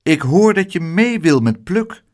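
A kindly gentleman from a children's storybook, as a male reader plays him. He speaks in a fairly high, animated voice. His manner is brisk, encouraging and reassuring. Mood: cautioning.

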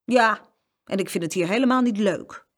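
A woman's voice: medium pitch, normal speed, voice pushed up, close to an everyday voice. A woman voicing a boastful, condescending older boy who sneers stubbornly, in a children's storybook reading. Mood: haughty, displeased. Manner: bragging, condescending.

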